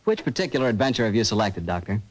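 An American man working as a radio announcer and pitchman, chatty, folksy and emphatic in 1940s sponsor style. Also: big pitch swings, rapid-fire.

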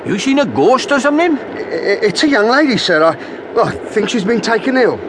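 A man's voice: sing-song, a little fast, full character voice. An actor playing an alarmed man on a train in a vintage radio drama. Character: alarmed men discovering a body on a train. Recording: noisy old radio drama, rumbling train.

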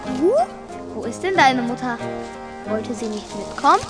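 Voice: medium pitch, animated, moderate pace, music in the background, lightly acted. A female performer playing a curious, eager young child in a children's audiobook.

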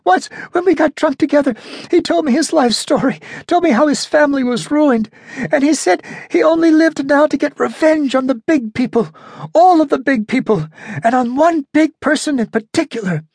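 A man's voice: very high-pitched, normal speed, darkish tone, dry recording, studio quality, voice pushed up. A man voicing a terrified, drunk male ventriloquist who stammers and whimpers near tears.

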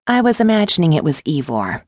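A defiant captive heroine voiced by a woman narrator from a fantasy novel, low in pitch and sardonic. She speaks bluntly and wryly, in a close, dry sound. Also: very animated, brisk, darkish tone, dry recording. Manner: wry, blunt.

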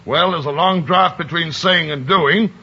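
An actor playing a gruff, lonely middle-aged merchant, blunt and earnest, in old-fashioned rustic speech and radio drama style. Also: male voice, a little high, a little slow, pushed and bright, exaggerated voice. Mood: brusque, curious. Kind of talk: 1940s radio acting. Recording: dull vintage radio recording.

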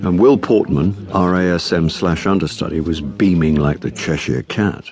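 An English man playing a weary, wry middle-aged actor in a radio drama, narrating and chatting with deadpan wit. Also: low voice, normal speed, natural voice.

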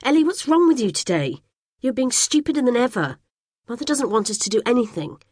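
A woman's voice: on the high side, big pitch swings, a little fast, a little mellow, breathy edge, no room sound, studio quality, voice pushed up. A woman voicing a bossy, impatient young girl. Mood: scornful.

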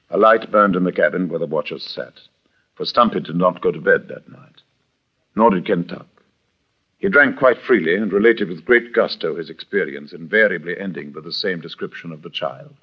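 A man narrating a frontier tale as a radio-drama storyteller, measured, theatrical and precise in his wording. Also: deep voice, slightly rough. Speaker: narrator and character actor. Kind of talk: dramatic scripted storytelling, old-time radio. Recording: dull vintage radio recording.

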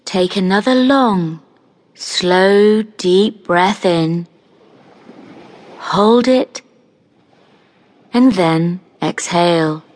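Very slow, low-pitched woman guiding meditation. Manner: soothing, gentle, measured with long pauses. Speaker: guided meditation narrator. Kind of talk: scripted guided meditation, hypnosis narration.

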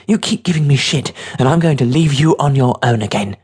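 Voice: mid-range voice, animated, fairly quick, darkish tone, breathy edge, pitched above the performer's own voice. A narrator voicing a tough, scornful, streetwise teenage girl with a brash, mocking, bossy way of talking. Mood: scornful, threatening.

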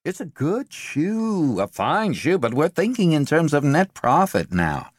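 A man voicing a loud, pushy middle-aged sales executive who rants and lets his voice climb into shouts. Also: on the high side, sing-song, unhurried, dry recording, clean studio sound, pitched above the performer's own voice. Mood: ranting. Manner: forceful, ranting, voice rising to shouts.

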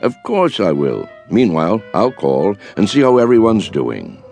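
An actor playing a gentle, hopeful elderly man in a radio drama, courtly and mild in speech. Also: on the low side, very animated, unhurried, creaky, soft background music, full character voice. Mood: cheerful, courteous. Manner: courtly, mild, well-spoken.

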